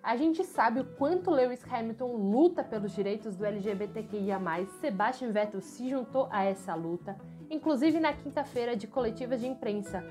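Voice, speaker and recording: A young woman with a Brazilian accent hosting a motorsport channel, giving emphatic, expressive news commentary to camera from a room at home. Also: on the high side. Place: small furnished room at home, indoors.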